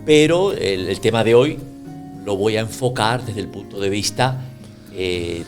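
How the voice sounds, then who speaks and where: A man's voice: fairly low pitch, expressive, unhurried, faint music behind. A man co-hosting a podcast, agreeable and giving brief replies. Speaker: podcast co-host. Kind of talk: casual podcast chat.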